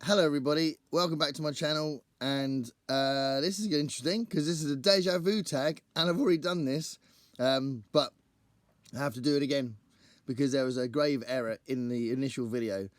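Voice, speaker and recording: A man hosting his own book channel, talking casually to camera in a rambling, think-aloud way, his voice croaky from singing at a gig the night before. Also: medium pitch, a little fast, dry recording.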